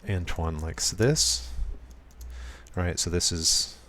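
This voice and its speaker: A man casually talking through his code during a live programming stream, speaking softly and smoothly with frequent ums and self-corrections. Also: deep voice, slow. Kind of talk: casual live-coding walkthrough.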